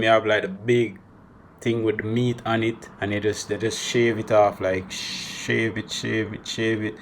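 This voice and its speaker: A young Jamaican man talking casually to camera as a travel vlogger, chatty and animated, slipping Creole phrases into his English. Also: lowish voice. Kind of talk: casual vlog monologue.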